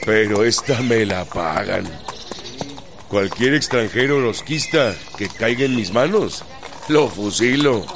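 An actor playing a defiant, blunt Mexican revolutionary colonel in a radio drama, speaking with authority. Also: male voice, lowish voice, expressive, slow pace, noisy background. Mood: bitter, vengeful. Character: commanding, defiant Mexican revolutionary colonel rallying his men. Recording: loud music bed under dramatised dialogue.

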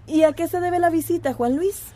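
An actress playing a self-serving, imperious and demanding small-town mayor in a radio drama. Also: female voice, very high voice, brisk, warm tone. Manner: haughty, demanding.

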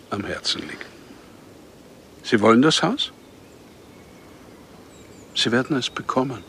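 An actor playing a genteel, cynical broker of contract killings in a radio drama, formal and pedantic, with a husky voice. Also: male voice, medium pitch, a bit bright, a little hiss or hum.

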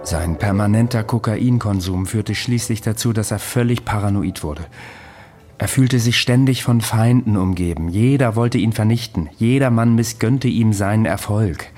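A man plays an ageless raconteur in a radio play. His voice is low and his delivery dry and matter-of-fact, like a patient recounting a long past, with some music behind him. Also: moderate pace, darkish tone, lightly acted. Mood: dry, weary recollection. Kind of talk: German radio drama acting.

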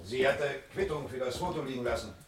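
An actor playing a sceptical police sergeant in a German radio crime drama, terse, sardonic and probing. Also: male voice, mid-range voice, fast, background noise, natural voice. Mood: businesslike. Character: dry, sceptical male police sergeant questioning suspects.

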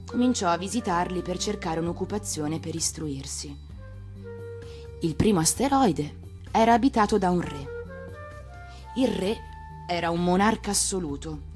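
A woman's voice: on the low side, animated, normal speed, pauses often, darkish tone. A woman reading a fairy tale expressively, as an actress narrating a storybook for radio. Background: music.

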